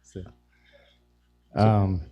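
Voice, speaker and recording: A man chatting as a guest musician on a live show, his voice raspy and hoarse, relaxed and full of fillers. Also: low voice, drawn-out words, soft background music. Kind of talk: casual chat on a live show.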